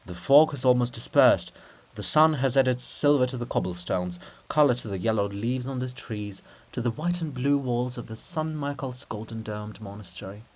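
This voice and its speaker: A man narrating a play as a dramatic reading, deliberate and sometimes hushed, with occasional stumbles over words. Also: mid-range voice. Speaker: narrator and reader. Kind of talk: dramatic reading of stage directions.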